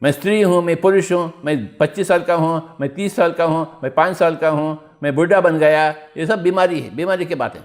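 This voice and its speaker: A man's voice: on the high side, brisk, hardly any pauses. A man teaching meditation in an exhorting spiritual discourse, repeating his phrases and posing rhetorical questions.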